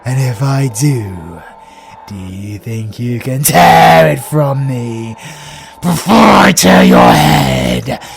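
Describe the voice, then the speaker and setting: A menacing vampire villain for an audio drama. His voice creaks with fry and swings widely in pitch. He savours his threats with hungry, theatrical cruelty over a light bed of music. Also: lively intonation, exaggerated voice. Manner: slow, savouring, menacing delivery. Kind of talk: audio roleplay villain acting.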